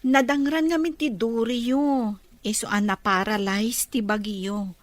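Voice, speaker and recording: A woman with an Ilocano accent acting a warm, concerned young friend in a radio drama. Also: a little high, steady tone, measured pace, dry recording, natural voice. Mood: cheerful, encouraging.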